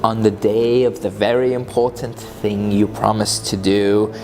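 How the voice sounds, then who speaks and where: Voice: mid-range voice, steady tone, measured pace, a little mellow, faint music behind. A mischievous narrator of unclear sex giving a theatrical, gleeful dramatic reading of comic verse. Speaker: author and reader.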